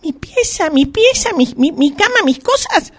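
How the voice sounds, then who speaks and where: Woman acting a high-pitched, whiny, pleading sister, Argentine. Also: very animated, unhurried, exaggerated voice. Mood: indignant. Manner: whiny, plaintive, repeats words insistently. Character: lovestruck, put-upon adult sister, pleading and self-dramatizing. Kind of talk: amateur radio drama acting.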